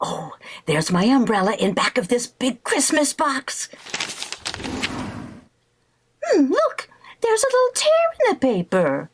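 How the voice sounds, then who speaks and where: Lady acting a flighty scheming housewife, old radio sitcom style, high voice that swoops all over. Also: huge pitch swings, moderate pace, breathy edge, heavily acted. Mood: coy, scheming. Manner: theatrical, exclamatory, mock-innocent.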